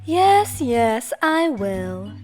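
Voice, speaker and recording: Woman acting a gushy affectionate girl, highish voice, unhurried, cooing, music behind. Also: big pitch swings, a little mellow, heavily acted. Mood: teasing affection. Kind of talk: amateur visual novel voice acting.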